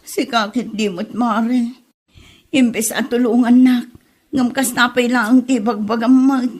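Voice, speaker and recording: A woman's voice: on the high side, steady tone, slow pace, darkish tone. A woman acting a clueless, defensive content creator in an amateur comedy drama. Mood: sulky. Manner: defensive, offhand.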